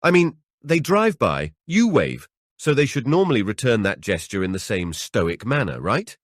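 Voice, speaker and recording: A man's voice: mid-range voice, sing-song, moderate pace, dry recording, voice pushed up. A male narrator plays a wry husband in an audiobook. He sounds flippant and sarcastic, his voice lifted above the narration, in a dry recording. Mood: indignant. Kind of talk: audiobook character voice.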